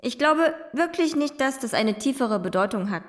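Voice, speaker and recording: Very high, naive German schoolgirl. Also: expressive, moderate pace. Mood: musing. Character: naive, chatty, well-meaning teenage schoolgirl. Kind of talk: German radio drama acting.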